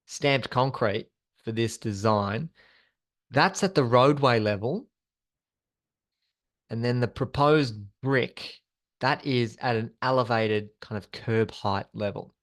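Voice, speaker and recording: A male instructor leads a training session with slides. His voice sits at an average pitch for a man. He explains in an informal, conversational manner. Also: slow, long pauses, a bit bright. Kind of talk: presenting a training webinar with slides.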